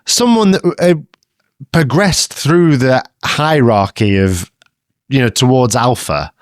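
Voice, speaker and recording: A man's voice: mid-range voice, animated, slow pace, no echo. A man co-hosting a podcast, teasing his co-host with dry, wry humor. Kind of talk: casual chat.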